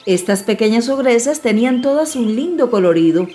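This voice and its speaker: A woman storyteller giving an expressive, measured narration of a dramatized fairy-tale audiobook. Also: a little high. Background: music.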